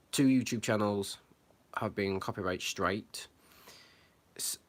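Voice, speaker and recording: A man with a fairly low voice talks off the cuff about a matter that worries him. He stops and restarts often, filling gaps with ums. His tone stays earnest and concerned. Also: fairly bright tone. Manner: hesitant, many fillers and restarts. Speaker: channel host. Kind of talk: unscripted commentary to camera.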